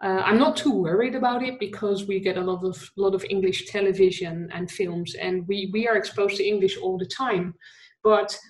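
A woman's voice: medium pitch, steady tone, normal speed, a little mellow. Dutch woman in a roomy echo. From Netherlands. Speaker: guest, conversation designer. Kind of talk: podcast interview.

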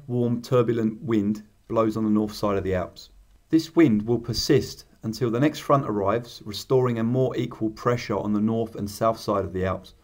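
A man narrating a scripted instructional voice-over, explaining in a measured, technical way. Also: lowish voice. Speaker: paragliding instructor.

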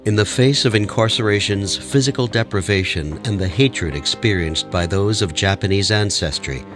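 Guy narrating a documentary, lowish voice, music going underneath. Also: a bit bright. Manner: measured, formal reading. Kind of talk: scripted narration.

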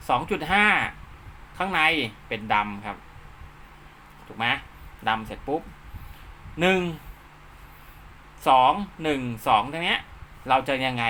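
A man's voice: mid-range voice, sharp tone, a little hiss or hum. A man giving an informal hands-on tutorial step by step, often checking that the listener follows. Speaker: instructor, channel host.

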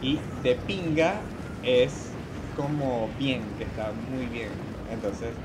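A man's voice: medium pitch, slow, background noise. A man in his thirties with a Venezuelan Spanish accent, chatting playfully and teasing while streaming a game on a headset mic. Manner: playful, joking, lively and teasing. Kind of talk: casual gaming stream chat. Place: small home room indoors.

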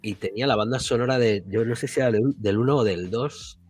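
A man's voice: mid-range voice, brisk, darkish tone, breathy edge. A man with an accent from Spain hosting a casual retro games chat, talking in a colloquial, rambling, jokey way. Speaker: podcast host. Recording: background music behind voice.

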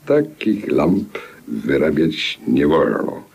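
An actor playing a sly, pompous professor and shady inventor in a 1950s radio play, in a grandiloquent, mock-secretive manner, with a gravelly, husky voice. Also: male voice, very deep voice, big pitch swings, slow pace, darkish tone, full character voice. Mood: conspiratorial.

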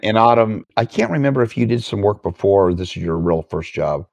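Very low-voiced male podcast host. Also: lively intonation, fairly quick, vocal fry, a little mellow, no echo. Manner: friendly, repeats words while asking questions. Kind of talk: casual conversational interview.

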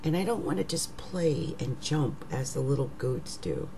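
A middle-aged woman with a rough, raggedy voice, talking casually to her webcam at home as an astrologer, slow and musing, trailing off into whispers. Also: very deep voice, lively intonation, mellow, slight background noise, rough recording. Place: living room at home. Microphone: webcam at desk.

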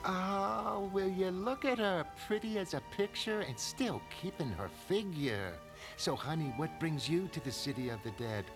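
A man voicing an elderly, chatty, wistful mother in a comic-book drama, with a folksy, fussing, drawn-out manner. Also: sits high, animated, pitched above the performer's own voice. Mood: fussing, teasing. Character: elderly mother, wistful, chatty, forgiving former glamour woman. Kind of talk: dramatic comic-book narration in character voices. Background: music.

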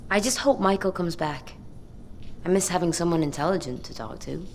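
A woman's voice: on the low side, a little fast, slight background noise, close to an everyday voice. An actress playing a dry, sarcastic young woman survivor in a video game cutscene. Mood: sardonic. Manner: deadpan, sardonic.

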